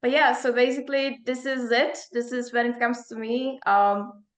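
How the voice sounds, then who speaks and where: A woman's voice: on the high side, flat delivery, moderate pace, roomy sound. A young woman from the Czech Republic with a Czech accent, talking casually and discursively as a coach being interviewed. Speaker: performance coach and psychologist.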